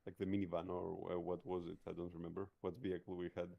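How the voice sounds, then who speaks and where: A man's voice: low voice, little pitch movement, quick pace, gravelly, lo-fi sound. A man chatting casually in character as a player in a tabletop roleplaying game.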